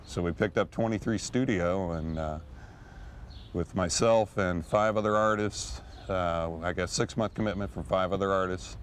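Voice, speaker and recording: A man who founded a gallery, recalling its start in a documentary interview, pausing often with fillers. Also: sits low, steady tone, a little hiss or hum. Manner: hesitant, many "uh" fillers.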